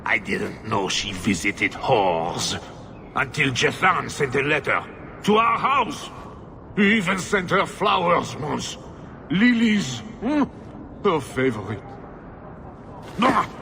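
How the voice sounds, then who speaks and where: A man's voice: on the high side, sing-song, slow, gravelly, pauses often, bright, forceful tone, a little hiss or hum, full character voice. A man playing a bitter, self-pitying nobleman husband in a video game, defensive and world-weary, sighing as he talks. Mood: resentful, weary. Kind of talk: dramatic video game dialogue.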